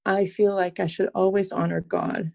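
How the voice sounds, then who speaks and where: A woman from Transylvania, Romania, speaking earnestly and in measured, articulate phrases as a podcast guest, reflecting in an interview. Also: medium pitch, flat delivery, creaky, mellow.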